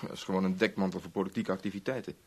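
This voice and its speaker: An actor playing a sly, urbane chief inspector in a radio play, breezy and teasing in manner. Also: male voice, low-pitched, flat delivery, very fast, a little mellow, slightly rough. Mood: confiding, measured. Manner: breezy, teasing, evasive old-boy chattiness. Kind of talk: Dutch radio play acting.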